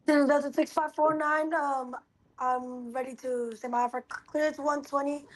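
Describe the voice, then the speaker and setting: Female sim pilot with a high voice, procedural radio calls. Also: fairly even intonation, moderate pace. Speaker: pilot in an online flight simulation game.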